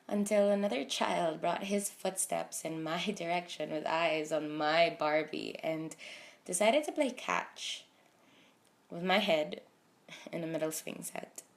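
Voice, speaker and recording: Young woman, early twenties, slam poetry recitation, lowish pitch, heavy vocal fry, deliberate emotive phrasing, dramatic pauses. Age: early 20s. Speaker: slam poet.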